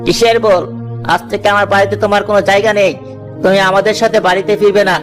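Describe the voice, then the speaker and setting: A man's voice: high voice, little pitch movement, quick pace, close to an everyday voice. A man voicing an earnest, fatherly, righteous village man in a moral-story cartoon. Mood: stern, reproachful. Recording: music under the voice.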